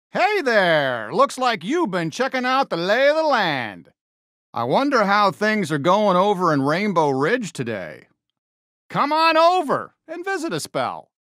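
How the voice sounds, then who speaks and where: A man's voice: high voice, pushed and bright, no room sound, clean studio sound, full character voice. A man voicing a friendly, upbeat toy cowboy sheriff for a video game, with an American accent and a folksy Western drawl. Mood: upbeat, welcoming. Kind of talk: animated video game character lines.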